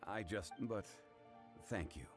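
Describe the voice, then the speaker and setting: A man voicing a composed, learned gentleman advisor in a video game, with formal, measured and deliberate speech. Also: on the low side, over music. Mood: thoughtful.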